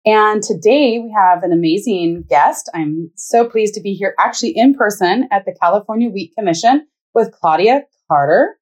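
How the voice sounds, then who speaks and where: A woman's voice: medium pitch, animated, normal speed, hardly any pauses, rough recording. A woman, speaking as a podcast host introducing and interviewing.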